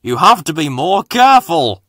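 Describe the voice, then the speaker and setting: Male reader as railway controller, stern, authoritative, bright forceful delivery, admonishing, storybook. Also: a little high, very animated, normal speed, no room sound, clean studio sound, voice pushed up, heavily acted.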